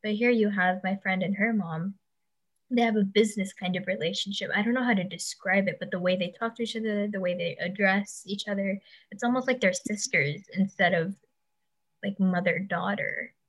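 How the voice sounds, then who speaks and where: A woman's voice: mid-range voice, normal speed. A young woman of Pakistani family raised in the United States, talking candidly and thinking aloud in a casual conversation. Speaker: conversation partner. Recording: muffled, dull sound.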